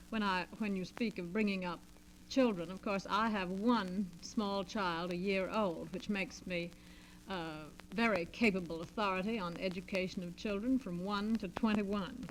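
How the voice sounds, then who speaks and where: A woman in a 1940s radio discussion, speaking in a halting, measured, dryly self-deprecating way. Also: medium pitch. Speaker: guest debater. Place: radio studio, around a table. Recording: noisy vintage transcription disc with hum.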